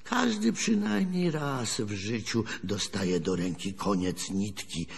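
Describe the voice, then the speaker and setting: A man's voice: lowish voice, expressive, takes it slowly, creaky, slightly rough, heavily acted. An actor playing a folksy, wry old grandfather who tells rambling rustic tales in a radio play. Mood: reflective, rueful. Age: older. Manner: rambling folksy storytelling, chuckling, rustic colloquial speech. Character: folksy old grandfather, railwayman's son, wistful and wry storyteller. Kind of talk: radio drama acting. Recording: long hall reverb.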